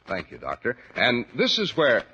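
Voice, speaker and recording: A man's voice: mid-range voice, huge pitch swings, moderate pace, sharp tone. An American man announcing and hosting a scripted 1940s radio show in a polished, emphatic way. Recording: noisy muffled 1940s radio recording.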